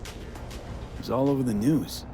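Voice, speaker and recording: A man's voice: mid-range voice, slow, over music, close to an everyday voice. A man playing a blunt, skeptical young streetwise mercenary with a casual, cool manner in a video game. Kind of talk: cinematic video game acting.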